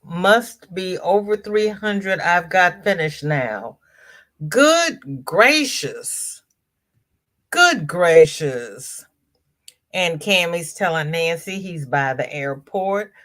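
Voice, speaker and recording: Slow, low-voiced congested woman. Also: lively intonation, fairly bright tone. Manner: chatty, greets viewers by name. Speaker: livestream host. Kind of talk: casual live chat reading comments.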